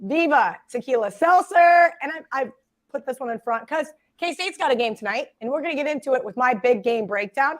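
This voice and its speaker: Raspy woman, fairly high, lively joking podcast host. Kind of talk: sports betting podcast chat.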